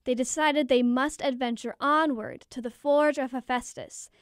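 An actress playing a proper, prim goddess narrating an audio drama in a measured way. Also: female voice, sits high, fairly even intonation, no room sound, studio quality, close to an everyday voice. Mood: composed. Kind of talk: scripted storytelling.

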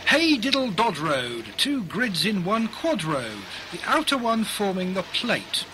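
Man as high-voiced, eager 1920s radio engineer. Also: wildly swooping pitch, measured pace, noisy background, exaggerated voice. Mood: playfully declamatory. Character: eager 1920s radio engineer reciting comic technical rhymes. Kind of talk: radio play acting.

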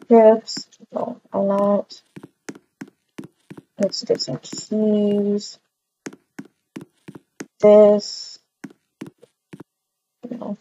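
A woman's voice: mid-range voice, mellow. A woman playing an affectionate, harried young mother in a game roleplay, murmuring hesitantly as she thinks aloud. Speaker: roleplay video creator. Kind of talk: improvised game roleplay, muttering to herself and a child. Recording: dull lo-fi mic with noise, clicks.

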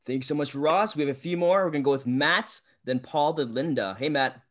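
A man hosting a video call, briskly calling on each speaker in turn. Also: fairly high pitch. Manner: brisk, keeps things moving. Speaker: host.

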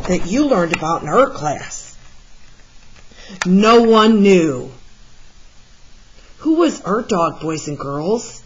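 A woman reading a picture book aloud to children in an expressive, deliberate storytelling style, like a teacher. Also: on the low side, slightly rough. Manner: deliberate, with animated emphasis for young listeners. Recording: slightly muffled, lo-fi sound.

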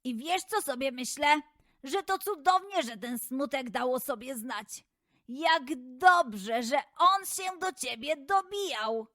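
A woman voicing a kind, earnest detective in a children's fairy tale, gentle and encouraging in manner. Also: high voice, expressive, slow, no room sound, clean studio sound, full character voice. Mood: warmly encouraging. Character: kind, earnest detective consoling a runaway flamingo.

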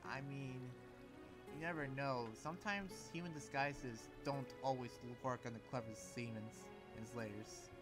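Man playing an earnest, halting demon slayer. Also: mid-range voice, steady tone, music in the background, natural voice. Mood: kind, hesitant. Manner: hesitant, halting, gently reassuring. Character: earnest, sympathetic young male demon slayer. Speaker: Minecraft roleplay player. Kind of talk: improvised anime roleplay.

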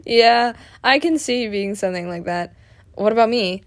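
A woman playing a playful, excitable young male adventurer in an audio roleplay, chatty and expressive, affectionate with a partner. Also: fairly high pitch. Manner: breezy, giggly, expressive, chatty.

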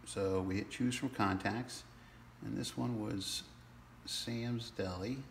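A man giving a casual, halting step-by-step walkthrough as a product demonstrator in a small indoor room. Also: on the low side, steady tone, creaky. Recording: roomy sound with faint background noise.

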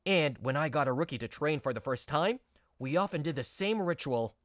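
An actor playing a mild, earnest young male office worker in a manga story dub, speaking in a sincere, measured way. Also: on the high side, animated, a bit bright, dry recording. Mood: earnest, reflective. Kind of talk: scripted manga story dub, narration and dialogue.

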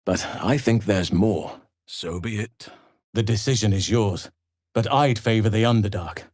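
An actor playing a gentle, solemn, burly elf druid in a fantasy video game, speaking in an earnest, measured and formal way. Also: male voice, fairly low pitch, dry recording, studio quality. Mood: earnest resolve.

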